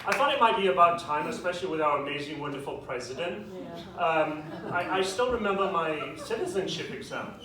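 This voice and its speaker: A young man with a British accent doing a stand-up comedy set in a club with a live audience, delivering dry, self-deprecating punchlines with quick asides. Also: on the high side, expressive, a loud crowd. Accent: British English. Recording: echoey club, distant mic, audience noise. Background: audience laughter.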